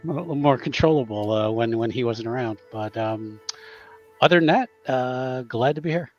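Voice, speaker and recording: A man's voice: medium pitch, normal speed, faint music behind. A man playing a tabletop game, introducing his character casually in an understated, hesitant way, on a slightly dull microphone.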